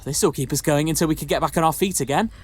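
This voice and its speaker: A man's voice: a little high, fast talker, natural voice. A man playing a doting, motherly pantomime dame in an audio drama. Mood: amazed.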